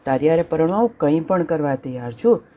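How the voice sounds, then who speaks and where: A woman voicing a hungry, bossy male lion who barks gruff, impatient orders in a storybook tale. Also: sits low, expressive, a little fast, mellow.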